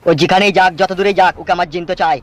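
A man's voice: high-pitched, little pitch movement, rapid-fire, a bit bright. A man voicing a vengeful, foul-mouthed gang boss who barks coarse threats in a comedy cartoon. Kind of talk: comedy cartoon villain acting.

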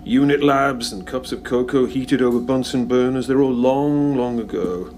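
An actor playing a wry, reflective time-travelling hero in an audio drama, speaking conversationally and articulately. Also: male voice, medium pitch, normal speed, darkish tone, slight background noise, lightly acted. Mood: wistful.

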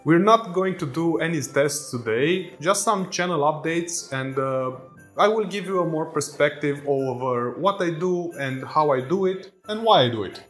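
Romanian-accented man in his 30s, casual self-mocking vlogger, slightly high voice, phone mic in a roomy workshop. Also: moderate pace, a bit bright. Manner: chatty, laughs often, self-deprecating asides. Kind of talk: casual vlog to camera. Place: indoor workshop with tools and shelves. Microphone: phone camera mic. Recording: echoey room on a phone mic, faint music.

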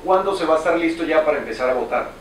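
A man's voice: on the high side, expressive, rapid-fire, husky. A man asking an interviewee brief, direct questions, heard off camera. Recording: roomy, distant off-camera voice.

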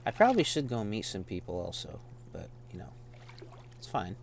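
A man's voice: on the low side, vocal fry, a little hiss or hum. A man casually commenting while playing a farming game, laid-back and rambling, often calling people "man". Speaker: gameplay streamer. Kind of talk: casual let's-play commentary.

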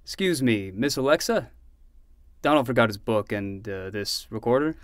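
A man's voice: mid-range voice, animated, normal speed, dry recording, studio quality, close to an everyday voice. A man playing an easygoing, protective uncle in a fiction podcast, conversational and matter-of-fact. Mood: flustered, defensive. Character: easygoing, protective adult uncle, mildly flustered.

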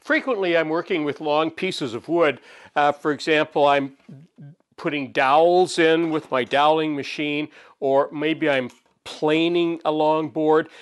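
A man in his sixties hosting a woodworking channel, explaining his workbench tricks in a relaxed, chatty way with frequent chuckles, recorded in his home workshop. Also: a little high. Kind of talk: casual workshop demonstration. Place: home woodworking shop, indoors.

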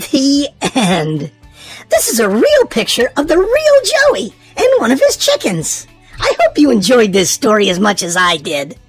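A high-pitched woman narrates a children's storybook with hugely swooping intonation. Her voice is very husky and breathy. She stresses words in a sing-song, expressive read-aloud style for small kids.

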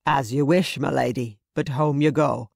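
A narrator voicing a dutiful, courteous Highland guardsman with brisk, old-fashioned phrasing in an audiobook. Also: fairly even intonation, vocal fry, no room sound, studio quality. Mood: gallant. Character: dutiful, gallant Highland guardsman, adult man.

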